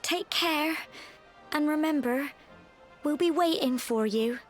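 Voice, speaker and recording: A young village woman in a fantasy game, tender and earnest. Her high voice takes its time, gentle and a little hesitant, over faint music. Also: takes it slowly. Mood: tender, worried. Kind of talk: scripted video game voice acting.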